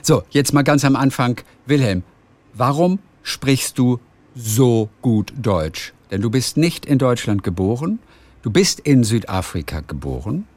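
A man's voice: medium pitch, lively intonation, measured pace, a little mellow, dry recording. A man interviewing a guest in a casual, friendly way, asking curious follow-up questions.

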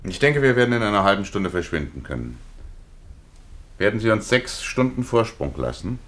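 A very deep male voice as a bitter, philosophical outlaw in a radio play. He speaks softly and deliberately, probing his listener, with highly animated rises and falls in pitch. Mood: probing, cautious. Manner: deliberate, soft-spoken, probing. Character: brooding ex-U-boat commander turned bank robber, philosophical, bitter. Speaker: radio drama actor. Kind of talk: German radio play acting.

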